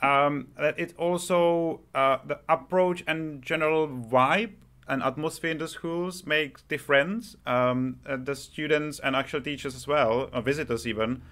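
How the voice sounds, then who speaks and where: A man hosting a podcast interview, speaking conversationally with hesitant, halting phrasing. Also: on the high side, a bit bright. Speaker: podcast host.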